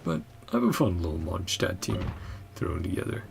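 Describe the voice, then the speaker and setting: A man live-streaming a game with casual, rambling, apologetic asides to viewers in a low, creaky voice. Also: wildly swooping pitch, normal speed, a little mellow. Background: faint game music.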